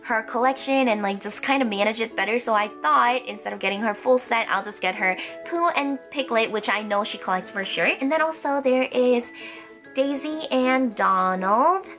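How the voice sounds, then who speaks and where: Young woman doing a casual haul vlog, high bubbly voice, bit stuffy from a cold, faint music behind. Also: normal speed. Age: young adult. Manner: bubbly, excitable. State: stuffy, getting over a cold. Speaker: host of a toy haul channel. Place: indoor room lined with plush toys.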